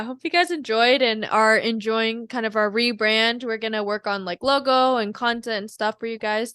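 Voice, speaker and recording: Young woman co-host, giggly and relaxed, bright tone, slightly high pitch. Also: clear, resonant tone, dry recording. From South Korea. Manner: giggly, laid-back, swears casually. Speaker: podcast co-host. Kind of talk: casual chat.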